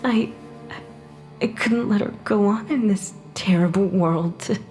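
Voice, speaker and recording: An actress playing the ghost of a murdered young mother in an audio drama, sharp-tongued and emotional, halting when upset. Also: medium pitch, faint music behind, close to an everyday voice. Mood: grieving, bitter. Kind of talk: dramatic audio fiction acting.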